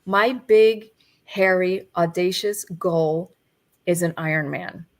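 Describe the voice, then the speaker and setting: A woman in her fifties, a coach, giving a gentle, reflective motivational talk over a video call. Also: on the low side, slow. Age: 50s.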